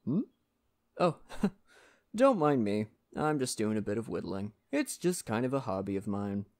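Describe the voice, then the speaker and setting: A man playing a friendly, folksy lumberjack in a soft-spoken ASMR roleplay, talking gently and reassuringly to the listener. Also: fairly low pitch, sing-song, measured pace. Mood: relaxed, friendly. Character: friendly Canadian lumberjack, gentle nature-loving father.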